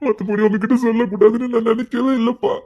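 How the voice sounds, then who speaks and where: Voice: mid-range voice, steady tone, quick pace, a little mellow, dull audio, lightly acted. An actor in an emotional family melodrama playing an anguished grown child, pleading repetitively and overwrought with fear for a father.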